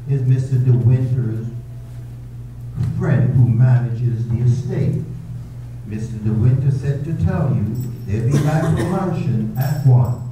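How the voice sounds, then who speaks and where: A man playing a proper, deferential household butler in a staged radio drama, formal and measured. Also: mid-range voice, mellow, close to an everyday voice. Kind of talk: readers-theater radio drama acting. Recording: echoey, distant, faint hum.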